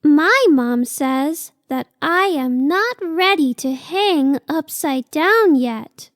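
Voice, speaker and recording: Woman as a very slow, high-pitched baby sloth. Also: lively intonation, no room sound, studio quality. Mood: hopeful, earnest. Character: cute young female sloth baby, earnest dreamer. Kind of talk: slow children's picture book read-aloud.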